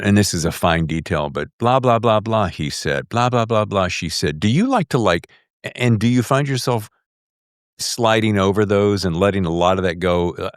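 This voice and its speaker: A man's voice: fairly low pitch, no echo, clean studio sound. A man hosting a conversational podcast interview, effusive, with rambling, winding questions. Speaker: podcast host.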